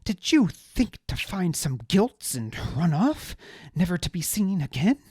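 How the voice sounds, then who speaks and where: A narrator voicing a pompous, petulant man who is easily outraged and talks in shrill, indignant bursts. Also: sing-song, measured pace, a little mellow, slightly rough, no echo.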